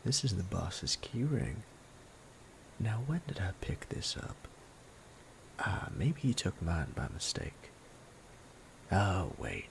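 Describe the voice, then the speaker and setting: A man voicing a sadistic male bandit captor in an ASMR roleplay, low and gravelly, often whispering in a menacing, playful way. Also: wildly swooping pitch, takes it slowly, long pauses, slight background noise, exaggerated voice, poor audio. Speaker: voice actor.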